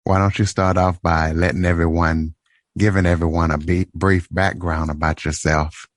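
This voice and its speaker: A man hosting an interview podcast, asking steady questions with small restarts. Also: low-pitched, moderate pace, no echo, clean studio sound. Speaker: podcast host.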